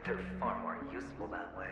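Smug, echoing ghostly tormentor. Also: male voice, low-pitched, lo-fi sound. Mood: smug taunting. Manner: mocking, theatrical, drawn-out phrasing with chuckles. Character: taunting ghostly male double of a gambler, smug and needling. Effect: ghostly echo. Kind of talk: dramatic video game voice acting. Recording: echoing processed voice under music.

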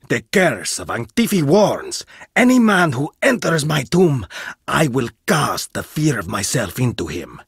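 A proud, distrustful archaeologist from an adventure game, blunt to the point of rudeness. His husky voice swings wildly up and down as he lectures, dry and close to the mic. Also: mid-range voice, very animated, unhurried, dry recording, exaggerated voice. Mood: stern. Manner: blunt, haughty, dramatic swings in delivery. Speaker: game character voice. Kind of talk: video game voice lines.

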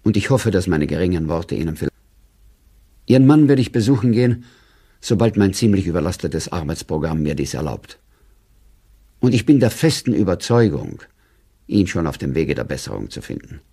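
Mellow, stiffly formal court official. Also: male voice, sits low, very animated, normal speed, slightly rough, heavily acted. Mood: formal sympathy. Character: formal, condescending court chairman dictating a condolence letter. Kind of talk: 1930s letter read in radio drama.

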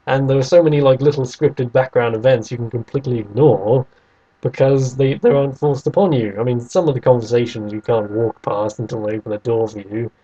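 A man's voice: medium pitch, a little fast, mellow, slightly rough, highs cut off. A man co-hosting a podcast, casually arguing about video games and restating his points over an internet call. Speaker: podcast co-host.

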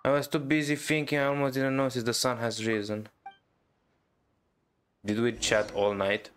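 A man reading game dialogue aloud during a playthrough, understated and even. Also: mid-range voice, moderate pace, clear, resonant tone. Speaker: gaming YouTuber.

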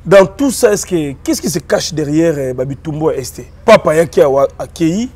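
Homme qui anime l'échange par des questions courtes, en mêlant le français et le lingala. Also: male voice, on the high side, expressive, normal speed, a little mellow, breathy edge. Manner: short prompts and questions, mixes French and Lingala. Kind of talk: talk show conversation.

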